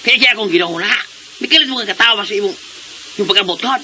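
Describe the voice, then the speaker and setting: An excitable, boastful comic fellow who whines and yelps. The voice is high and piercingly bright, with faint hiss behind it in a radio drama. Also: male voice, fast talker. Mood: whiny, excited.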